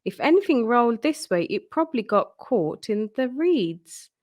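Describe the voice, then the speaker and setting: Storybook gentleman frog, played by a woman: calm, reassuring, with lots of pitch movement. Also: moderate pace, lo-fi sound.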